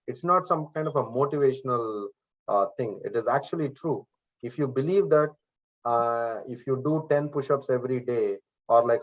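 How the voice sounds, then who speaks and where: A man with an Indian accent coaching students on an online class call, speaking frankly and driving his points home through repetition. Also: mid-range voice. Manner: direct, repeats himself, frank motivational tone. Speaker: course instructor. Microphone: video call. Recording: dull lo-fi video call audio.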